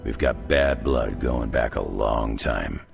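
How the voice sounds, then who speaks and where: A rugged middle-aged brawler in a game cutscene, speaking in an extremely low rumble full of gravel. He is gruff and sardonic, tossing off folksy, laconic remarks like a veteran of many fights. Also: slow, music in the background, full character voice. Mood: candid, easygoing. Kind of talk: video game cutscene dialogue.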